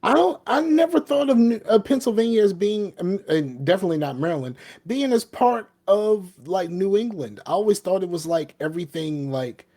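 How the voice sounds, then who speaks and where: A man's voice: high voice, expressive, moderate pace, few pauses, warm tone. A middle-aged man from the American South with a Southern accent, chatting casually as a podcast co-host and rambling with lots of "you know what I mean". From Southern United States. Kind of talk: casual chat.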